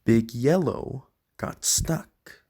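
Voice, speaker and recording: A reader voicing a friendly moose who gently reads storybooks aloud to young children in a deliberate way. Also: medium pitch, husky, heavily acted. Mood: friendly, gentle. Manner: slow, deliberate, addressing children directly. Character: Alex the Moose, friendly storybook-reading moose of the enchanted woods.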